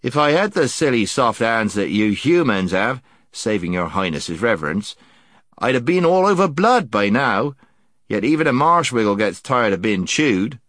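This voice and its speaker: Man voicing a grumbling, animated marsh creature. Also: medium pitch, normal speed, dry recording, clean studio sound. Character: gloomy, grumbling male marsh-dwelling creature, blunt and dependable.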